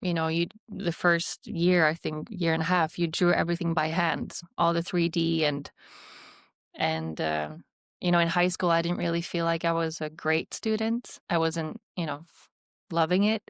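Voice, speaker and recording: A woman's voice: on the low side, flat delivery, moderate pace, creaky, no room sound, clean studio sound. A woman in her thirties with a Swedish accent, a podcast guest reflecting and rambling with fillers. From Sweden. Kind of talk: casual interview chat.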